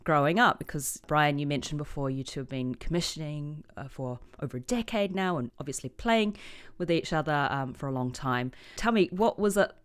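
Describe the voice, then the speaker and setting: A woman's voice: low voice, lively intonation, moderate pace, clean tone. A woman hosting an interview, conversational and engaged as she asks her questions. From New Zealand. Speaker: podcast host.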